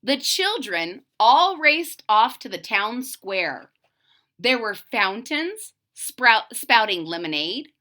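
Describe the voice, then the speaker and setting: Lady reading a kids story out loud, bright voice, really animated and dramatic. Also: a little high. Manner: dramatic, slow, pausing to ask questions. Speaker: storyteller reading aloud to children. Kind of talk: expressive read-aloud of a picture book with questions to young listeners.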